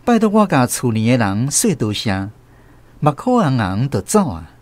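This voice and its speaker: A male actor with a Taiwanese accent playing a grown man who recounts his boyhood in an animated radio drama narration. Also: medium pitch, moderate pace, no room sound, close to an everyday voice. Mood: reflective, storytelling. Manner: animated first-person storytelling. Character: grown man fondly recounting his small-boy adventures. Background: plucked guitar music.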